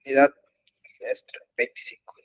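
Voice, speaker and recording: A man teaching an online web development class, explaining code slowly with frequent pauses and fillers. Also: no room sound. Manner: slow, halting explanation with many pauses and fillers. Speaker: programming instructor. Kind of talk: live online coding class. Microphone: computer mic in an online class.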